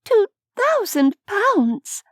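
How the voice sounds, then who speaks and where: A curious young woman asks quick, eager questions in an audiobook, as a narrator voices her. Her voice sits fairly high. The recording is dry and close. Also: no echo, close to an everyday voice. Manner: bright, inquisitive, lively questions. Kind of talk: audiobook character voice.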